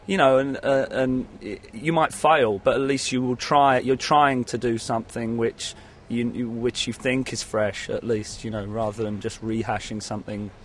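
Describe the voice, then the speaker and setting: A man's voice: medium pitch, steady tone, normal speed, few pauses, slight background noise. A man giving a casual interview as a musician, rambling and hesitant, often saying "you know". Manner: rambling, hesitant, peppered with 'you know'.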